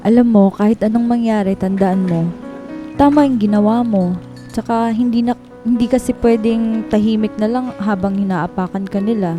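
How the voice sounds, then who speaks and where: Actress as soft-toned, concerned office friend, female. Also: medium pitch, steady tone, moderate pace, natural voice. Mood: concerned, supportive. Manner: casual, chatty, concerned. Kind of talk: radio drama acting. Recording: music behind the voice.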